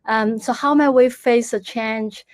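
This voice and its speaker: A woman's voice: a little high, steady tone, a little slow, no echo, studio quality. Young woman giving a careful online talk. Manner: careful, hesitant with fillers. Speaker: speaker. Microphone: video call.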